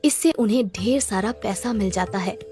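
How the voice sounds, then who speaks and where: A woman's voice: medium pitch, moderate pace, warm tone, soft background music. A woman storyteller giving scripted narration for a children's story.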